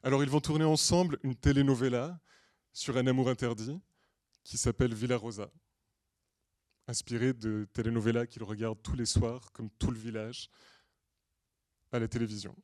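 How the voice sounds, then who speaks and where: A man with a mid-pitched, dry-sounding voice delivers a prepared story pitch. He is from Portugal and speaks in earnest, deliberate phrases. Hesitant pauses break up his storytelling. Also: steady tone, darkish tone, no echo. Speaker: screenwriter and director pitching a feature film. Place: screening room at a film school.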